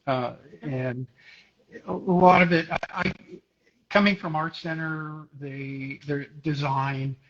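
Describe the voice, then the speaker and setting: A middle-aged man from Houston, interviewed as a guest, who talks hesitantly and restarts his sentences often. His voice is husky and sits a little high for a man. It comes through a dull, lo-fi video call. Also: steady tone, slow. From Houston, Texas. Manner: hesitant, many uhs and restarts. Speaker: guest photographer. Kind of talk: live interview.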